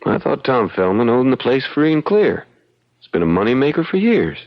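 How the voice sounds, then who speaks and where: A man playing a young frontier lawyer in an old radio mystery, folksy, shrewd and deliberate as he probes. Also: medium pitch, very animated. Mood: probing, calm. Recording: dull, band-limited old radio recording.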